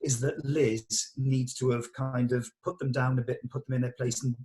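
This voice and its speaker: A man leads a relaxed script discussion at a table read. He explains things conversationally and hands out direction in an ordinary mid-pitched male voice. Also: moderate pace. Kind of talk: casual script discussion with actors.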